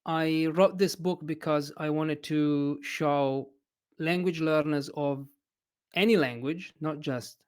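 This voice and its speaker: Slow-talking Italian man, clear voice. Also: on the high side, fairly even intonation, darkish tone. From Italy. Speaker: English teacher and writer, podcast guest. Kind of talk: casual interview chat.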